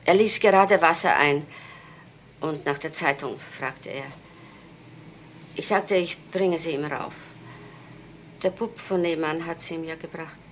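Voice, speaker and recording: Radio drama: guarded mother under questioning, lowish voice, careful terse answers, faint noise behind. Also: a little slow, pauses often. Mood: subdued. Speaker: actress in a radio crime play. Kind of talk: German radio crime drama acting.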